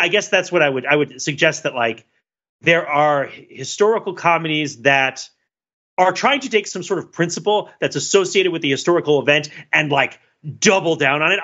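Bright-voiced guy on a podcast, rambles and restarts a lot, kinda muffled lo-fi sound. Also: fairly high pitch, animated, a little fast. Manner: thinks aloud with many fillers and restarts. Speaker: podcast co-host. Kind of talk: casual analytical discussion. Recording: dull lo-fi podcast audio.

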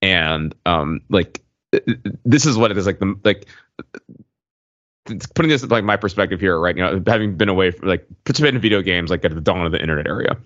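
A man co-hosting a podcast in casual conversation, halting and circling his point with lots of "like" and "you know". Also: sits low, lively intonation, a bit bright, no echo. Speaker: podcast co-host. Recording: muffled, lo-fi voice recording.

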